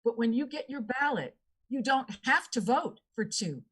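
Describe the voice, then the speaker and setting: Woman running for office, earnest, keeps repeating her pitch. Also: expressive, normal speed, fairly bright tone, slightly rough. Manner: earnest, repetitive campaign appeals. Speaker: candidate. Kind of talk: debate answer.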